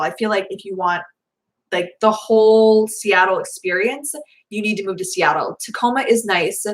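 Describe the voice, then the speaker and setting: A young woman in her twenties chatting casually through viewer questions, rambling with lots of fillers, her voice slightly hoarse. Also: mid-range voice, poor audio. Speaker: vlogger answering viewer questions. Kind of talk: casual live Q&A chat.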